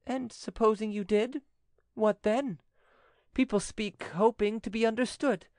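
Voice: medium pitch, unhurried, darkish tone, dry recording, clean studio sound, voice pushed up, natural voice. A narrator voicing a timid yet insistent, doting French widow in an audiobook story. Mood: indignant, pleading.

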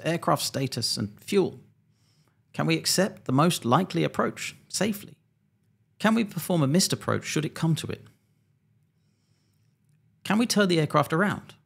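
Gravelly-voiced man presenting a scripted explainer. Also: mid-range voice, fairly bright tone. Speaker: airline pilot and presenter. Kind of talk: scripted explainer narration to camera. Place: small home studio indoors. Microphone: close desk microphone.

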